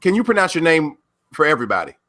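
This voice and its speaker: A man co-hosting a podcast, playful and jumping in with quick questions that stumble over themselves. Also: a little high, big pitch swings, bright, forceful tone. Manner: fast, stumbling, playful interjections. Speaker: podcast co-host. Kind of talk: casual podcast interview.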